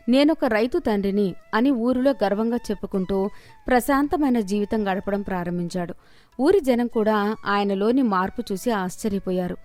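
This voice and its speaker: A woman narrates a scripted moral story as a storyteller. Her voice sits high, and music plays behind her.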